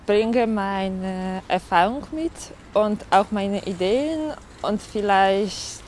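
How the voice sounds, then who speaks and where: A woman's voice: mid-range voice, animated, slow pace, darkish tone, a little hiss or hum. A woman from Poland, answering interview questions plainly.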